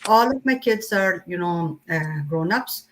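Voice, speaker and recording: A woman's voice: fairly low pitch, animated, unhurried, slight background noise, poor audio. A middle-aged woman speaking candidly and a little hesitantly as a guest on a video call. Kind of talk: casual video call interview.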